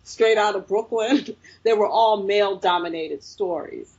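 A woman's voice: mid-range voice, measured pace, a little mellow, slightly rough, highs cut off. A woman filmmaker answering interview questions, telling her story reflectively with frequent fillers. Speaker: filmmaker guest.

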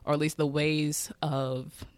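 A man's voice: a little high, little pitch movement, slow, smooth, dry recording. A man in his early twenties with an American accent, talking casually and candidly as an interview guest. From Kansas City, United States. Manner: candid, informal, swearing freely. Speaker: interviewed poet and musician.

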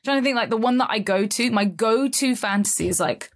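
A woman's voice: fairly high pitch, brisk. Blunt, foul-mouthed woman podcast host. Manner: blunt, swears freely, animated. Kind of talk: casual podcast chat. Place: London.

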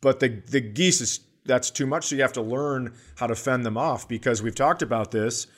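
An American man from Minnesota chatting casually as a comedy podcast co-host, explaining things with deadpan mock earnestness. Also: mid-range voice, moderate pace. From Minnesota, United States.

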